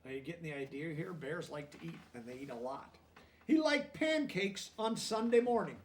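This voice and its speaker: A man reading a children's story aloud and pausing to explain things simply, like a teacher with young listeners. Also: fairly high pitch, lively intonation, distant mic, a little hiss or hum. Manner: pauses to explain words and check understanding in plain language.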